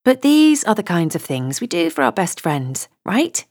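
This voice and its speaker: A woman's voice: mid-range voice, big pitch swings, moderate pace, a little mellow, no echo, studio quality. A woman reading a comic novel aloud in first person, wry and chatty. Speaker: audiobook narrator.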